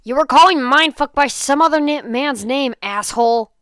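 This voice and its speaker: Woman putting on a brash, loud, hot-tempered teenage boy. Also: sits high, moderate pace, dry recording, studio quality, pitched above the performer's own voice. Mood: angry. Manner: loud, blunt, swearing. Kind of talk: fan fiction read-aloud character voice.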